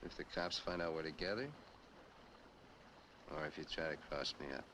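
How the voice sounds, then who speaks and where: Young American film noir killer, curt and taunting, low voice, noisy old soundtrack. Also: male voice, normal speed. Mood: menacing. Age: 20s. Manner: curt, commanding, threatening. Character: menacing, taunting young male killer holding captives at gunpoint. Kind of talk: 1950s film noir acting.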